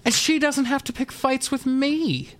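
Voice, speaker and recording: A man's voice: extremely high pitch, measured pace, a bit bright, pitched above the performer's own voice. A man voicing a middle-aged mother in a radio drama, strained and fussy, clinging to cheer. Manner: fussy, placating, exasperated.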